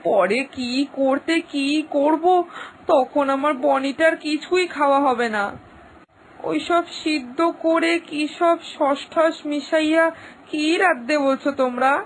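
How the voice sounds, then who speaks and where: Woman playing a worried middle-aged village mother, fretful and pleading, high voice, cartoon story. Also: unhurried, slight background noise, rough recording. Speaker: mother awaiting daughter. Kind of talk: cartoon voice acting.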